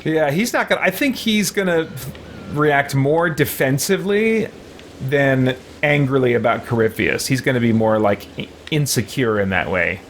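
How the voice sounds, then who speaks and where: A man's voice: medium pitch, expressive, normal speed, slight background noise. A man hosting a roleplay game series with casual commentary. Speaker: host playing a roleplay video game series.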